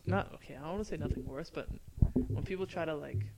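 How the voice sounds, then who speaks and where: A young man chatting easily as a podcast co-host, quick to laugh and go along with the joke. His voice sits a little high. A noticeable hiss runs under the recording. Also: creaky. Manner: laughs often, easygoing, agreeable. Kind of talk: casual chat. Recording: noisy background hiss.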